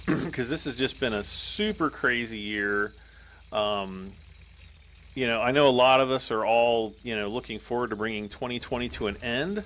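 A man with a hoarse, slightly worn voice talks casually over his gameplay at a medium pitch. He rambles loosely and keeps clearing his throat between thoughts. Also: normal speed, a bit bright. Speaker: gaming YouTuber.

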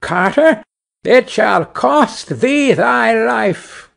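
A man reading aloud and voicing a small, vengeful female sparrow who utters slow, drawn-out threats in a storybook tale. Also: on the high side, animated, gravelly, pitched above the performer's own voice, exaggerated voice. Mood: menacing.

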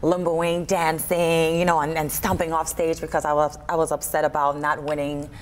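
A woman in her thirties speaks as a guest on a daytime TV show. Her voice sits a little low. She talks casually and with animation, in an informal, conversational way, close-miked in a studio. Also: flat delivery, normal speed, slight background noise. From Trinidad and Tobago and Buffalo, USA. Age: 30s. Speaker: guest, limbo performer. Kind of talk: casual TV interview. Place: TV studio kitchen set, indoors. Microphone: lapel mic.

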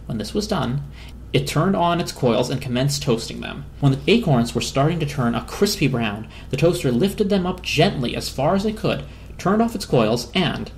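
A woman narrating a children's storybook as an expressive dramatic reading. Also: extremely low pitch, a little hiss or hum.

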